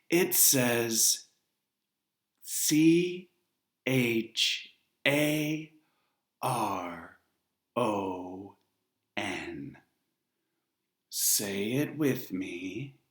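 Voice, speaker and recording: A man voicing a suave, cold male ferryman of the dead who speaks slowly and condescendingly with a foreign lilt. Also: medium pitch, roomy sound, pitched above the performer's own voice. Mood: mocking.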